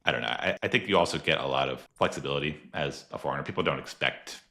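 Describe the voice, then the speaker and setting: A man's voice: a bass voice, fast, creaky, sharp tone. A man hosting a podcast interview, talking in an easygoing, chatty way with lots of fillers and frequent laughs. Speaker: podcast host. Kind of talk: casual conversational interview.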